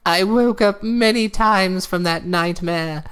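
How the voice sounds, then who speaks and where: A woman's voice: fairly low pitch, slow, fairly bright tone, smooth. A woman playing a prim, bossy old wife giving a theatrical comedic reading of a horror story. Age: older.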